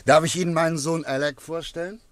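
An actor playing a brisk country gentleman with a grown son, needling and socially assured, in a German radio play. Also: on the high side, fairly even intonation, dry recording, natural voice. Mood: jovial, needling.

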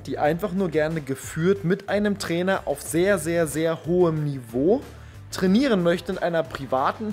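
A man's voice: fairly high pitch, darkish tone. A man, talking casually and emphatically to a handheld camera indoors. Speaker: vlogger, gym owner and coach. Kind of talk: casual vlog talk to camera. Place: large indoor rooms, empty hall and tiled gym. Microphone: camera held at arm's length.

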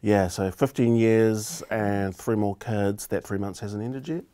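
A man in his forties with a New Zealand accent, a political candidate talking thoughtfully in a relaxed studio interview and often restarting his phrases. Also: on the low side, a little slow. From Cook Islands heritage, raised in New Zealand. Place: small indoor studio set.

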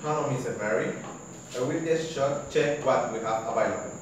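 A man's voice: medium pitch, normal speed, close to an everyday voice. Young guy doing a polite hotel desk agent, formal and scripted, recorded far off in an echoey noisy room. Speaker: student. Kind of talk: classroom role-play phone call. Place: large classroom, indoors. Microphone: far camera.

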